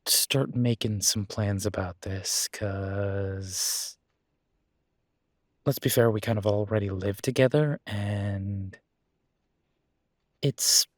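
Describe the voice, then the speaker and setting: A man's voice: on the low side, dry recording, studio quality. A man playing an affectionate young werewolf boyfriend in an intimate audio roleplay, talking in a casual, hesitant, rambling way.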